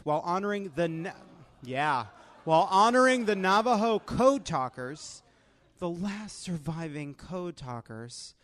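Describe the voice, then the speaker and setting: A man hosting a comedy game show in front of a live audience, sarcastic and often laughing as he talks. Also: fairly high pitch. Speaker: host.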